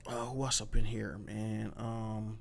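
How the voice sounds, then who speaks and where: A man hosting a casual gaming podcast, talking loosely in slang with plenty of swearing and shout-outs to his chat. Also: lowish voice, little pitch movement, measured pace, gravelly. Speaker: podcast host.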